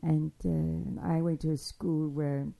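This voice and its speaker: Older lady, low gravelly voice, rambling memories with lots of stops and restarts. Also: level tone, warm tone. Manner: halting, digressive storytelling with many restarts. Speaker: interviewee recounting childhood. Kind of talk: spoken memoir, oral history.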